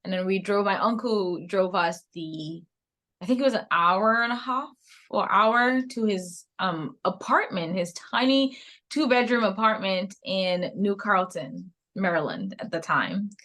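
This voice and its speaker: A woman in her thirties from Cameroon, with a light Cameroonian English accent that leans American, telling her story in a reflective, animated way as a podcast guest. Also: mid-range voice, measured pace. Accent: Cameroonian English, softened toward American. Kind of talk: casual interview conversation.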